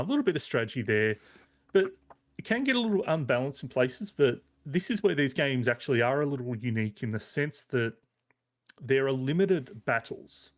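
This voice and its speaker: A man giving a casual, conversational game review as a podcast reviewer, rambling a little with hesitant but enthusiastic phrasing. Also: medium pitch.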